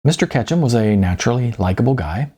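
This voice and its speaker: A man with a gravelly voice, an author narrating his own audiobook in an informal way. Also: medium pitch, expressive, fast talker, mellow.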